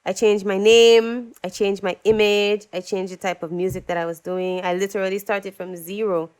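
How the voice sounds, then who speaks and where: A young woman from Dominica with a smooth, clear voice at a middle pitch. She tells her story in a relaxed interview, animated and lively, slipping into the voices of the people she quotes. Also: moderate pace. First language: English. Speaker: singer-songwriter guest.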